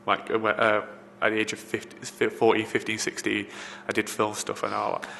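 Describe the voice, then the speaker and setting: Man, low flat voice, rambling with fillers, roomy reverb, faint hiss. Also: normal speed, gravelly. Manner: rambling, frequent fillers and restarts. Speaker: film location assistant. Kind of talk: casual interview answers. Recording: reverberant room, faint background noise.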